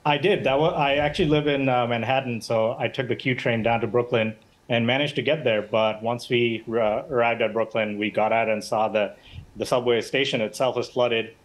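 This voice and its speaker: A man from Bangladesh recounts what he saw in a relaxed, conversational way, pausing with fillers and restarting sentences. His mid-range voice comes through a remote video link. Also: mid-range voice, low-quality recording. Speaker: interview guest, eyewitness. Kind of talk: live news interview.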